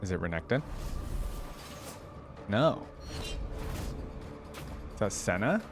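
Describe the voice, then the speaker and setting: A man hosting a reaction video, giving informal, excitable commentary and thinking aloud as he goes. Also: fairly low pitch, slow, over music. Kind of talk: casual reaction commentary with some reading aloud.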